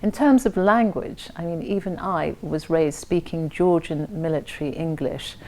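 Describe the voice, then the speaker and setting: A woman's voice: low-pitched, moderate pace, dark tone. A woman speaking in a documentary interview, conversational and explanatory, with some fillers.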